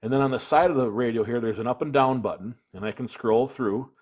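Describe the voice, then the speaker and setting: A man presents a hands-on product demonstration in a casual way. His voice sits at an average pitch, and he explains each step in plain, practical words. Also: a little fast, a little mellow. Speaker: product reviewer, video presenter.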